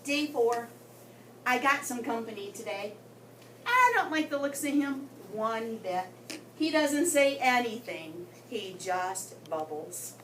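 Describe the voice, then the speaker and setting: Female storyteller for a children's read-aloud, higher-pitched and expressive, picked up from across a roomy space. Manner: expressive, with dramatic pauses and emphasis. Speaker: guest story reader for children. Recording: roomy, distant mic with background noise.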